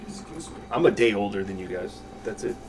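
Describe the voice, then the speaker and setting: A man in his forties, a podcast guest, making dry deadpan quips. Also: on the low side, brisk. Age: 40s. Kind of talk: casual podcast chat. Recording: clear background noise.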